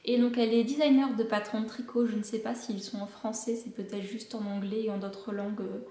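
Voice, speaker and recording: A woman's voice: medium pitch, fairly even intonation, a little fast, warm tone, reverberant. A woman hosting a knitting podcast, chatting casually about shows she recommends, with many hesitations. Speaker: knitting podcast host and pattern designer.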